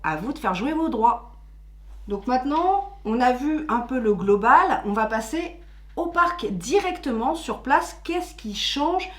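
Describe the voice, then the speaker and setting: A woman co-hosting a video, explaining details in easy, chatty talk. Her voice sits a little high. The sound carries a roomy echo. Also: expressive, measured pace. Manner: chatty, explains patiently with filler words. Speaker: co-host of a theme-park channel. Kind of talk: casual explainer chat. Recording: echoey room.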